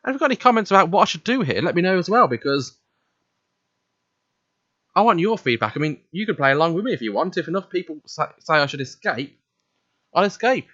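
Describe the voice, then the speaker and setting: A man's voice: a little high, big pitch swings, quick pace, long pauses, bright, forceful tone, dry recording, highs cut off. A man hosting a let's play, reading visual novel narration aloud and commenting casually, stumbling now and then.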